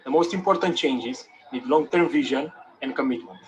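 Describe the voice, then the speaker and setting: A man's voice: mid-range voice, moderate pace, slight background noise, low-quality recording. A man from Romania, giving a short, firm, declarative statement.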